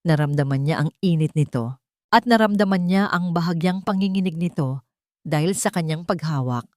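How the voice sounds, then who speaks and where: Low-voiced woman narrating a romance, close and dry. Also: moderate pace, dry recording. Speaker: storyteller. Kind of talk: scripted romantic story narration.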